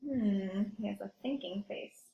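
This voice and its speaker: A woman's voice: mid-range voice, measured pace, vocal fry, echoey room, dull audio, a little hiss or hum. A woman teacher reading aloud to young children, explaining simply and expressively and asking the listeners questions.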